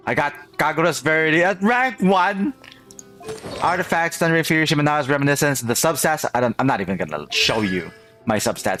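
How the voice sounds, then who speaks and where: A man's voice: on the high side, fairly bright tone. A man chatting casually to viewers as a game streamer, rambling and often repeating his phrases. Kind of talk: casual livestream chat to viewers.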